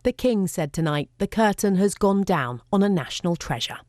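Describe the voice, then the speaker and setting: A woman's voice: medium pitch, animated, normal speed, darkish tone, dry recording. A British woman news reporter reading a scripted obituary narration.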